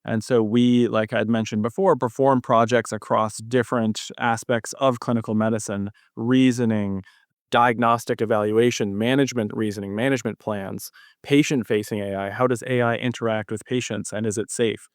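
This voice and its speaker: A man's voice: fairly low pitch, fairly even intonation, moderate pace, dry recording, clean studio sound. A young man speaking as an expert podcast guest, explaining his work conversationally in an interview. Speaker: cardiology fellow, podcast guest.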